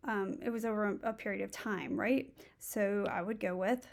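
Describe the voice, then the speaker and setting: Gravelly-voiced woman teacher thinking aloud. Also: mid-range voice, moderate pace. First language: English. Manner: thinks aloud, walks through steps. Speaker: Spanish instructor. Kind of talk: recorded tutorial lesson.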